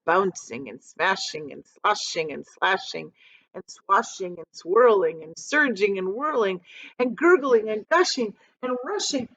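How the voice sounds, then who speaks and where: A woman reading a children's book aloud like a teacher, expressive and dramatic, with emphatic pauses. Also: medium pitch, lo-fi sound. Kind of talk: expressive storybook read-aloud.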